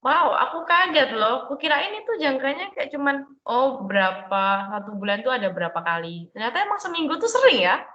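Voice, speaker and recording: A woman's voice: high-pitched, sing-song, a little fast, hardly any pauses, sharp tone. A young woman interviewing someone with chatty, curious questions. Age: young adult. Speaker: interviewer, student. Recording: dull, echoey room sound.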